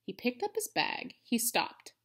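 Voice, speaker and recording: Female storybook reader, thirties, expressive read-aloud with highly varied intonation and dramatic emphasis. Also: unhurried. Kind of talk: animated children's read-aloud. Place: indoors, living room at home.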